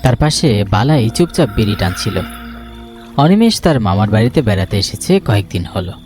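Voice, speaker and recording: A man's voice: on the low side, big pitch swings, moderate pace, a little mellow. A man narrating a scripted ghost story. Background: background music.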